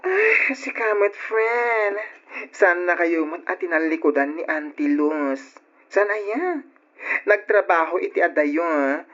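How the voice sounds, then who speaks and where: A woman acting as a caring, chatty young friend in an old radio drama, exclaiming and coaxing as she gently scolds. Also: on the low side, expressive, slow. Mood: reasoning, chiding. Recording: dull old radio recording.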